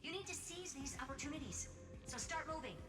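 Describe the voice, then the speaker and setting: A woman voicing a brisk, impatient young female genius who gives orders in a businesslike way. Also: high voice, lively intonation. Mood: urgent. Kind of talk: game voice acting. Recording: distant, echoey, with game music.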